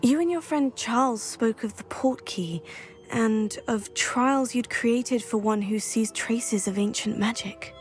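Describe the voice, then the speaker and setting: A woman's voice: on the high side, a little slow, soft background music, natural voice. A young woman playing a polite, earnest witch student in a fantasy game. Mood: earnest, attentive. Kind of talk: cinematic fantasy game acting.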